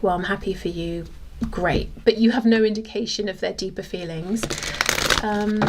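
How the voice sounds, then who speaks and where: A woman's voice: medium pitch, noisy background. A woman giving a casual tarot reading, thinking aloud in a conversational way. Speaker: tarot reader.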